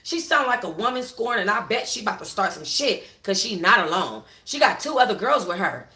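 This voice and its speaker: A woman's voice: mid-range voice, sing-song, fairly quick, pushed and bright, roomy sound. A woman narrating a first-person story for a book club in a dramatic, sassy, slangy manner.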